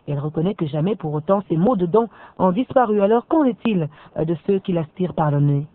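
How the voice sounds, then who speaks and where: A woman's voice: on the low side, expressive, fast talker, dry recording. A woman presenting a radio magazine, chatty with many fillers. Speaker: radio host. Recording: narrow phone-like band.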